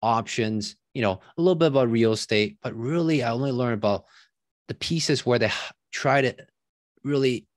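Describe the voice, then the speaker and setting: A man, telling his story as a podcast guest in a relaxed, chatty way with many fillers. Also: mid-range voice, normal speed, dry recording, clean studio sound. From Taiwan. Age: 30s. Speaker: podcast guest, real estate investor. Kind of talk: casual interview chat.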